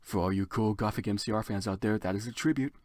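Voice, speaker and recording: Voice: mid-range voice, quick pace, darkish tone, no room sound, studio quality. A narrator giving a theatrical, mock-serious dramatic reading of a story, with comic asides. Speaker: narrator of a fan fiction reading.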